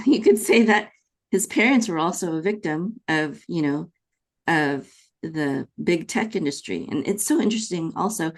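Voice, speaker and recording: Woman, Californian accent, low pitch, gravelly fry, podcast host, conversational, opinionated. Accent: Californian American. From California, United States, born in the Philippines. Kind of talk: conversational interview.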